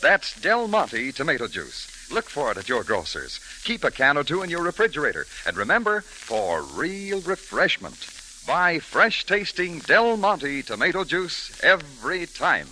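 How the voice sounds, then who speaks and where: A man's voice: fairly high pitch, big pitch swings, unhurried, sharp tone. An American man announcing a scripted 1940s radio advertisement in an emphatic, polished sales manner. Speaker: sponsor announcer. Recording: faintly noisy vintage radio recording.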